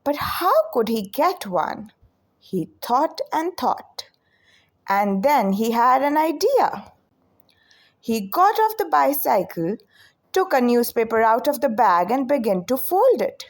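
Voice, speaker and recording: A female storyteller reads to children with a slightly raised pitch and very lively intonation. Her delivery is expressive and unhurried, giving each phrase room to land. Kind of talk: children's read-aloud narration.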